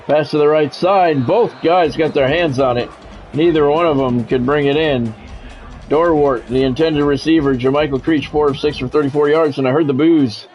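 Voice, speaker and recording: A man's voice: medium pitch, highs cut off. A middle-aged man giving live play-by-play of a football video game, mixed with offhand, conversational chat and casual swearing.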